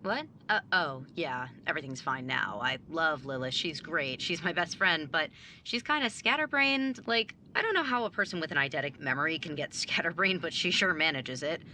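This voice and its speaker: A woman playing a driven, overachieving young student and younger sibling in an audio drama, brisk, businesslike and easily exasperated. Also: deep voice, lively intonation, sharp tone, slight background noise. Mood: awkward, guarded.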